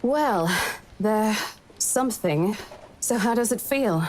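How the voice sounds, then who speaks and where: A woman's voice: mid-range voice, lively intonation, slow, darkish tone, a little hiss or hum. An actress playing a confident senior female estate agent in a visual novel game. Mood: teasing.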